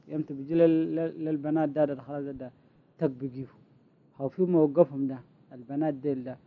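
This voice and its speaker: Fast-talking guy explaining stuff, higher voice, tinny phone sound. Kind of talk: casual conversation.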